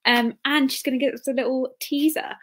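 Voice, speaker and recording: Female host, twenties, high-pitched voice, informal introductions over video call. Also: fairly even intonation, fairly quick, a bit bright. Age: 20s. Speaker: host, bookshop assistant manager. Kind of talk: casual event introduction. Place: plain indoor room.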